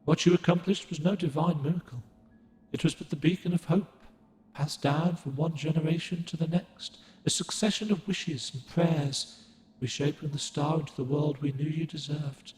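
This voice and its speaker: An actor voicing a solemn ancient guardian spirit of the moon in a game, speaking in a formal, archaic, gentle and measured way. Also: male voice, a little high, steady tone, reverberant, long reverb tail, soft background music, natural voice, rough recording. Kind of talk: game cutscene dialogue.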